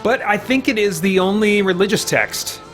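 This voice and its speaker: A man's voice: sits high, lively intonation, moderate pace, a bit bright, soft background music. A man hosting a video game livestream with casual, rambling commentary, breaking off mid-thought to react to the game and chat.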